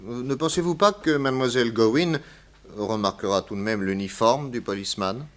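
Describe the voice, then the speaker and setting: An actor playing a courteous, shrewd private detective in a French radio drama, asking questions in a measured, deferential way. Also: male voice, mid-range voice, close to an everyday voice. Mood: deferential, thoughtful.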